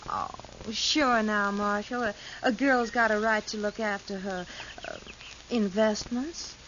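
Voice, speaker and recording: Actress as a folksy, scheming frontier woman, slow-talking. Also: mid-range voice, steady tone, fairly bright tone, close to an everyday voice. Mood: coy, unbothered. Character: brassy, callous Western schemer woman, feigning innocence. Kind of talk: 1950s radio western acting. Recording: dull lo-fi old radio recording, faint noise.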